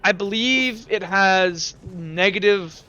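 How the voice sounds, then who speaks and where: A man's voice: sits high, takes it slowly, a crowd in the background. A young man narrating as game master of a tabletop game, rambling with lots of fillers. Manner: rambling, lots of likes and uhs. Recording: dull lo-fi mic.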